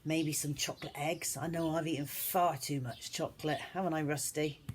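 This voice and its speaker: A woman's voice: sits low, steady tone, moderate pace, slight background noise. A woman reading aloud as a teacher at children's storytime, speaking expressively to young listeners. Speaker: schoolteacher reading stories to a class.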